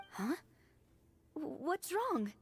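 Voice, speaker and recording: Anime game fairy sidekick: squeaky child voice, very animated intonation, unhurried, timid but eager. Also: female voice, heavily acted. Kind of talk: anime video game dub.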